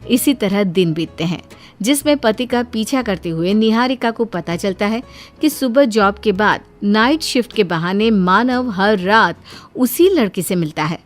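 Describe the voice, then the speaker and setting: A woman's voice: mid-range voice, lively intonation, a little slow. A woman narrating a scripted story as a storyteller. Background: background music.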